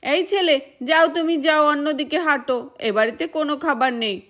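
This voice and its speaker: Jealous rich neighbour in a doll story woman performer: high voice, snappy, dismissive, scolding. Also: normal speed, fairly bright tone.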